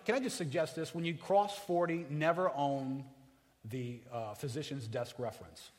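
A man in his forties preaching a sermon, conversational and joking with the congregation, swinging between hushed and loud passages. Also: a little high. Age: 40s. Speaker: pastor. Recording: reverberant hall.

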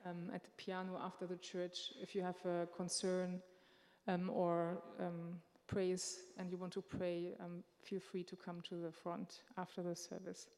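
A woman giving unscripted church announcements in a church sanctuary, halting and pausing often as she speaks into a microphone. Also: lowish voice, completely flat delivery, a little mellow. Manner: halting, with many filler pauses. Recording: long reverb of a large hall.

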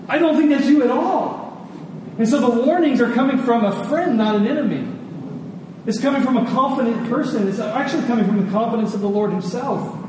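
A man preaching a sermon in an earnest, emphatic way, repeating phrases deliberately for weight. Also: very high-pitched, darkish tone. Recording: echoey hall, distant, faint noise.

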